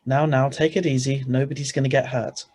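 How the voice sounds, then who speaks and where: An actor playing a tense, protective husband in an old-style radio thriller, urgent and plain-spoken. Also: male voice, medium pitch, little pitch movement, a little fast, darkish tone, lightly acted. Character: tense, protective ordinary husband, hard-boiled narrator. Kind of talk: 1940s-style radio drama acting and narration. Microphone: video call.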